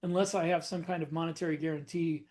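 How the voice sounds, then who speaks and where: A man co-hosting a podcast chats in a relaxed way and often breaks into small laughs. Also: fairly high pitch, fast. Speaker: podcast co-host, author. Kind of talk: casual panel chat.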